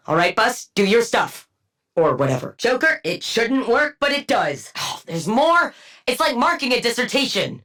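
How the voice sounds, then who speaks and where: A woman playing a brilliant, dry-witted scientist villain-antihero in a video game, speaking in a clinical, deadpan and wryly bookish way. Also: mid-range voice. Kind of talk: video game combat voice lines.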